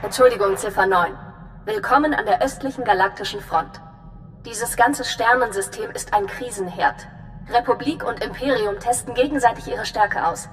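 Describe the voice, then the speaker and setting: An actress playing a composed, businesslike intelligence officer giving a precise, measured briefing in a video game. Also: female voice, soft background music, lightly acted. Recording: long reverb, faint rumbling noise.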